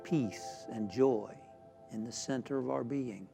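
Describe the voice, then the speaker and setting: Male meditation leader, mid-pitched, slow and deliberate spiritual delivery with soothing pauses, clear musical bed. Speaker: minister leading a guided meditation. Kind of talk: guided meditation, spiritual talk. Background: background music.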